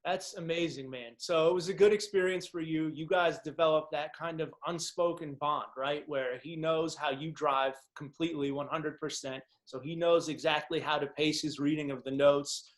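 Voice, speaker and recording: A man whose first language is Czech hosts a casual chat and speaks in a fairly high voice. He tells stories with enthusiastic, slangy energy and keeps the talk moving. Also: fairly even intonation, moderate pace, hardly any pauses. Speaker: podcast host.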